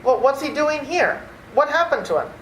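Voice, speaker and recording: An exasperated nephew performed by a woman in an old-time radio play. The voice is high and animated, wry and easily set sputtering. It rings in an echoey room with slight noise. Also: normal speed. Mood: flustered, shocked. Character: exasperated, flustered nephew, an adult man.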